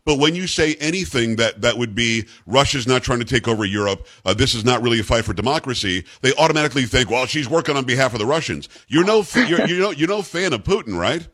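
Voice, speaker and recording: A man's voice: medium pitch, animated, fast talker, hardly any pauses, a bit bright. Male radio host, plain middle voice, chatty and opinionated. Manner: conversational and opinionated. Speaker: radio talk show host. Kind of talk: talk radio interview.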